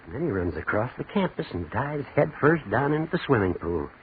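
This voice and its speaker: Shaken, rough-spoken man, muffled old radio. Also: mid-range voice, big pitch swings, slight background noise. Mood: shaken, urgent. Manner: colloquial, ungrammatical, halting storytelling. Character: rough, shaken man recounting a campus death. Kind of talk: 1940s radio horror acting. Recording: muffled, lo-fi 1943 radio broadcast.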